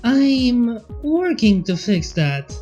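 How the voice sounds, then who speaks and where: A man's voice: very high voice, very animated, drawn-out words, a little mellow, highs cut off, soft background music, pitched above the performer's own voice, full character voice. A man voicing a wry, needling robot scientist with a probing manner in a fan fiction reading.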